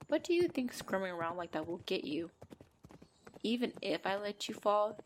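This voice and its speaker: A woman's voice: mid-range voice, a little hiss or hum, natural voice. A woman voicing a possessive female centaur in an ASMR roleplay, bantering and commanding, with dry exasperation. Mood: exasperated, bossy. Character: possessive female centaur, bossy, teasing, half-gentle captor.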